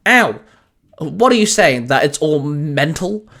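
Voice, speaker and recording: A man's voice: fairly high pitch, very animated, slow, a bit bright, heavily acted. A man voicing a dejected, snappy young hero in an audio story, grumbling and overdramatic. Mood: exasperated.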